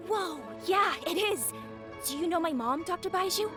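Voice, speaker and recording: A young boy from an anime game, high and boyish. The character speaks earnestly and politely, with a worried, pleading manner. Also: expressive, moderate pace, music in the background. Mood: surprised.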